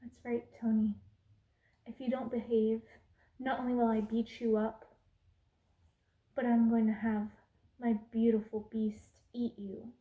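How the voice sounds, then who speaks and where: A woman's voice: fairly high pitch, flat delivery, measured pace, long pauses, roomy sound, phone-quality sound, close to an everyday voice. A woman playing a cruel, haughty evil queen in an ASMR roleplay, imperious and scornful, sometimes slipping into whispers. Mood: contemptuous, menacing.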